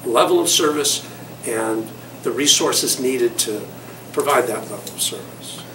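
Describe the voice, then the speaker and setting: A man's voice: medium pitch, measured pace. Older man recalling, echoey and distant. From Southern California. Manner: rambling, thoughtful, many fillers. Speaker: award recipient interviewee. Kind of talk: reflective interview recollection. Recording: echoey room, mic far off, noisy.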